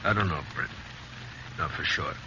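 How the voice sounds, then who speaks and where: An actor playing a middle-aged frontier sheriff and guilt-ridden father in a 1950s radio western, gruff and plain-spoken. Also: a bass voice, lively intonation, normal speed. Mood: uneasy. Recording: muffled, noisy 1950s radio recording.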